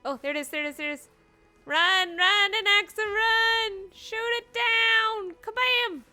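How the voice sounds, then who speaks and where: A woman's voice: extremely high pitch, unhurried, sharp tone, soft background music. A woman streaming a game with casual, playful, exclamatory live reactions.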